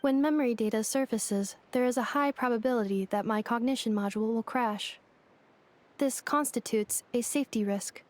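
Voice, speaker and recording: A woman voicing a calm female android in a game, speaking in a flat, formal and precise way. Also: fairly high pitch, normal speed, close to an everyday voice. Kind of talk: game voice acting.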